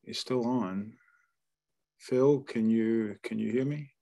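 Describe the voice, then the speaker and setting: Middle-aged man, low voice, moderator on a webcam call, loose and rambling delivery. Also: slow, vocal fry, mellow. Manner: rambling, repeats words, frequent restarts. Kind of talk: moderating an online Q&A. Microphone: webcam on video call.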